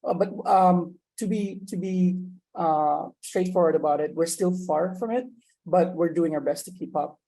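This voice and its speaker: A man's voice: high voice, moderate pace, gravelly, mellow, rough recording. A man from the Philippines speaking hesitantly, with many restarts, in an online meeting. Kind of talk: video call meeting discussion.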